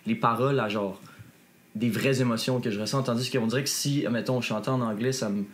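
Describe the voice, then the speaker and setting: Québécois male punk singer, mid pitch, earnest, filler-heavy. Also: steady tone, fairly quick. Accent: Québécois French. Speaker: punk band member, guest. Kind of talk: casual interview chat.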